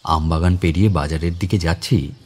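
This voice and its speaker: A man's voice: low voice, fairly even intonation, a little fast, a little mellow. An actor playing a kind, reflective man visiting his home village, narrating the dramatised story himself. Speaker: narrator and lead character.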